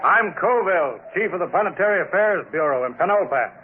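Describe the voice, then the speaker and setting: A bossy colonial bureau chief. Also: male voice, high voice, animated, brisk, narrow, tinny audio. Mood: brusque. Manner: brusque and commanding. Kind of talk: 1950s radio drama acting. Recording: scratchy old radio broadcast.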